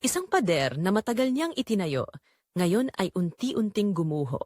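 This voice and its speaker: Woman reading a dramatic story, super expressive pitch, dry close recording, builds suspense. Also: normal speed, dry recording. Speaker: narrator of audio drama. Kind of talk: dramatic scripted story narration.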